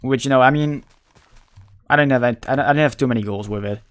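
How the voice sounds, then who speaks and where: A man whose first language is Dutch speaks English with a Dutch accent in casual livestream chat. He talks haltingly, searching for words and often restarting. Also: mid-range voice, smooth. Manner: halting, searching for words, many repeated starts.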